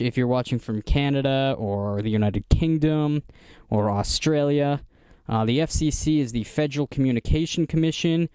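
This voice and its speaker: An American man giving a casual, unscripted show-and-tell narration in a halting, explanatory manner. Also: medium pitch, a little mellow, smooth, no echo, clean studio sound. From United States. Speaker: vlogger showing vintage radio gear.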